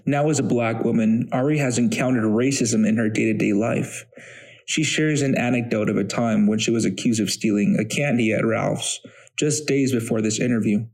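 Male podcast narrator, average pitch, formal scripted read linking parts of a personal story. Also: fairly even intonation, moderate pace, a little mellow, poor audio.

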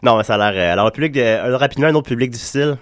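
A man's voice: mid-range voice, very fast, no room sound, clean studio sound. A man with a Quebec French accent hosting a casual radio chat while down with the flu. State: has the flu. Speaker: radio host.

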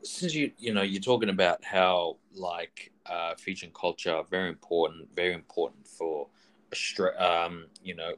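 A male interviewer with a very low voice asks long questions in a casual chat. He stumbles through them, repeating words and filling gaps. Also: a bit bright, a little hiss or hum. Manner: halting, many fillers and restarts.

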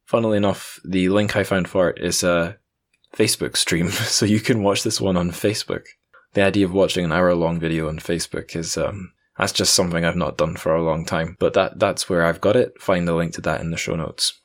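A man's voice: sits low, steady tone, a little fast. A man hosting a podcast in a casual, chatty way with wry asides. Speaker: podcast host.

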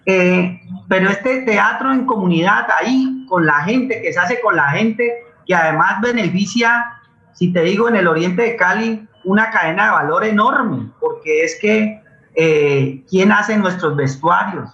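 Colombian male guest, high-pitched and bright, earnestly explaining with hesitant repetitions. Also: a little slow. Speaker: interview guest, playwright and community theater maker. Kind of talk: conversational interview answer. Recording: dull, echoey remote-sounding audio.

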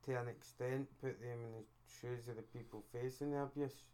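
Brief: Scottish male co-host, monotone delivery, plain matter-of-fact opinions. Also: mid-range voice, fairly quick. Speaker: podcast co-host. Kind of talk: casual chat.

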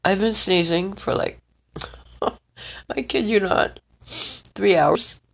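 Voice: mid-range voice, slow, gravelly, a bit bright. A congested vlogger with a scratchy voice, rambling and checking in with the listener again and again. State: stuffed up, congested. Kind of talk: rambling personal vlog.

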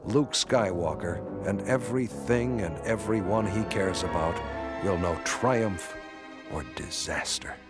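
A man narrating a radio drama in a solemn, measured announcer style. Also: on the low side, over loud music. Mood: grave, suspenseful.

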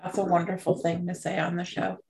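Woman co-host, low voice, gravelly, relaxed, short replies. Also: a little fast. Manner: relaxed, brief replies, wraps up the show. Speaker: podcast co-host. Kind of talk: casual chat.

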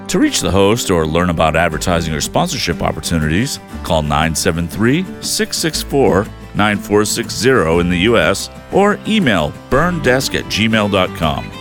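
A man reading a scripted outro announcement for a show in a lively way. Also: deep voice, normal speed, hardly any pauses. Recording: announcer voice over music.

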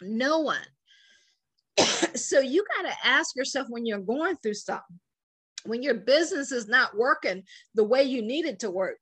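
A woman preaching to online viewers about faith and business, fervent and asking listeners to say lines back, her voice turning hoarse. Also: fairly high pitch, expressive, normal speed, a bit bright. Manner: fervent, calls for responses from listeners. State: hoarse, losing her voice and coughing.

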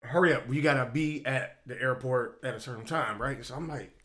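A man in his forties hosting a casual podcast chat, telling stories in an animated, joking way. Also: medium pitch, brisk. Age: 40s. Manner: animated storyteller, loose and joking. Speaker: podcast host.

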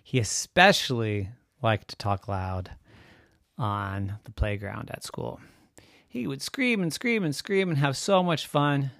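A man narrating a bedtime story in an expressive way, with drawn-out emphasis. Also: mid-range voice, gravelly, no room sound, studio quality.

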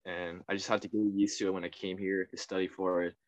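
A young man from Taiwan, a podcast guest chatting casually, laid-back, laughing often and trailing off quietly. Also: sits low, flat delivery, fast, muffled recording. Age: young adult. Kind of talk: casual chat.

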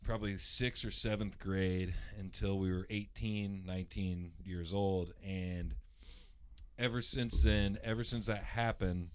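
A man from the Indianapolis area with a naturally loud voice, casually telling a long personal story, rambling with lots of fillers and restarts and clearing his throat. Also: low-pitched, fairly even intonation, measured pace. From Indianapolis area, USA.

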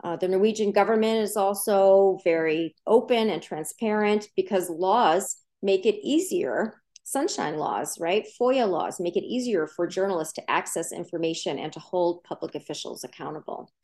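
A woman's voice: medium pitch, animated, moderate pace, few pauses, warm tone. Earnest woman explaining journalism. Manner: earnest, explanatory, emphatic repetitions. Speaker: journalism professor, guest. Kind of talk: interview answers.

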